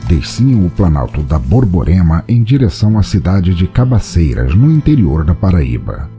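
Deep, mellow Brazilian man with animated delivery. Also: moderate pace, soft background music. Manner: wry, theatrical. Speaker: podcast host and narrator. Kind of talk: scripted narration.

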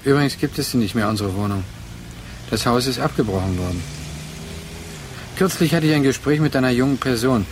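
An actor in a literary radio drama playing a brooding man who addresses his remembered former lover in halting, reflective phrases. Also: medium pitch, animated, close to an everyday voice. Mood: wistful. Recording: clear noise behind the voice.

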